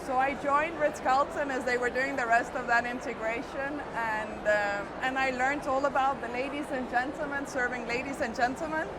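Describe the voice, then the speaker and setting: A middle-aged woman from Spain, an executive telling her career story in a relaxed interview, speaking fluently with some fillers. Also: high-pitched, normal speed, hardly any pauses. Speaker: hotel company executive. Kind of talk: conversational interview. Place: busy trade show floor. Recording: loud background noise at a trade show.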